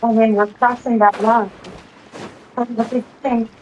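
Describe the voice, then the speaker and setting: A woman's voice: fairly high pitch, flat delivery, measured pace, a little mellow, poor audio. A woman speaking, recorded with noise around her.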